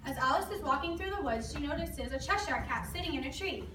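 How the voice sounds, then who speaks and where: A woman's voice: high-pitched, lively intonation, fast talker. A woman reading scripted narration in an amateur readers theater performance. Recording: echoey room, distant mic, clear noise.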